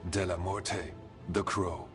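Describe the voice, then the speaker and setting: A formal, ominous dwarf in a fantasy game. He speaks very slowly in a deep voice over clear music. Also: steady tone. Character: slow, ominous, formal dwarf man from an isolated city. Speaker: voice actor. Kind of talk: video game cutscene acting.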